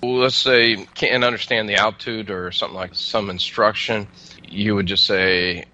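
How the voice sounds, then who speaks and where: A man giving casual, plain-spoken explanations as an interview guest, with somewhat halting delivery. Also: lowish voice, steady tone, bright, forceful tone, dull audio. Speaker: air traffic controller, interview guest.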